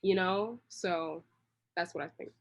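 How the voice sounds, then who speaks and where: A woman's voice: fairly low pitch, measured pace. Casual, long-winded woman in an interview. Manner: long-winded, rambling, slangy. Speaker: interview guest, creative director.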